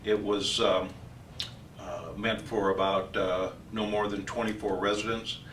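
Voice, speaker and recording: Guy doing a technical training talk, lowish voice, stop-and-start delivery, bit of background noise. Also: level tone, a bit bright. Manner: halting delivery with frequent long hesitations. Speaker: company trainer presenting product training. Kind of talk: informal instructional technical explanation. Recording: roomy echo, distant mic, faint noise.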